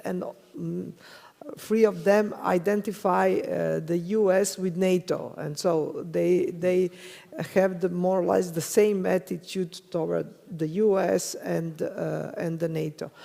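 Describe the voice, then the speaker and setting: A woman's voice: on the low side, vocal fry, mellow. A woman with a Serbian accent speaking on a conference panel, hesitant and searching for words, laughing easily. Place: conference hall. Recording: reverberant conference hall.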